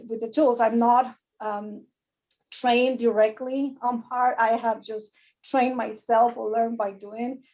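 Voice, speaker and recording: Panelist: a woman with a fairly high voice and an earnest, halting delivery over a dull call line. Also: a little mellow. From Costa Rica. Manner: earnest, frequent restarts and repeated words. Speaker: panelist, academic. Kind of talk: panel discussion. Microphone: video call.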